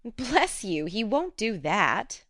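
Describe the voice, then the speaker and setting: A woman's voice: medium pitch, very animated, fairly bright tone, dry recording, studio quality, voice pushed up, full character voice. A woman voicing a spirited, frank young woman. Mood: coaxing. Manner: coaxing, brisk and direct.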